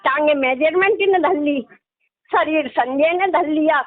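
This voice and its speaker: A woman's voice: high-pitched, a little slow. A middle-aged woman, chatting casually in a complaining way and tagging phrases with little questions. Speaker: caller, religious devotee. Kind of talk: casual phone conversation. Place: phone call. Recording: tinny, narrow phone line.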